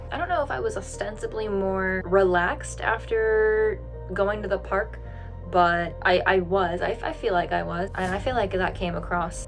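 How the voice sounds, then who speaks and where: A young American woman talking casually to her camera, rambling with lots of fillers and restarts. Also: mid-range voice. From United States. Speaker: vlogger. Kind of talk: casual vlog talk to camera. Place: indoors, a rented home room.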